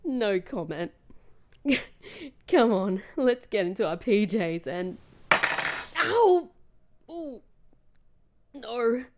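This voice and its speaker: A woman's voice: fairly high pitch, very animated, very slow, pauses often, a little hiss or hum, exaggerated voice. A woman playing a hurt, bitter young woman home from captivity in an emotional audio drama. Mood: softened. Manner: emotional, dramatic, British slang.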